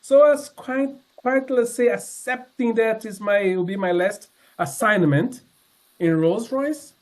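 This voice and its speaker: A man in his sixties giving a personal testimony to a congregation, speaking earnestly and haltingly, with many fillers and restarts. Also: high-pitched. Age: 60s. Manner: earnest, sincere. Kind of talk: personal testimony talk with slides.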